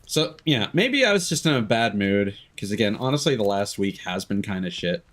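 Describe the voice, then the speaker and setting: Low-voiced man rambling casually. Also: sing-song, normal speed, fairly bright tone. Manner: sweary, candid, self-deprecating; rambling, thinking aloud. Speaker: game streamer. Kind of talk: casual live-stream chat and gameplay commentary.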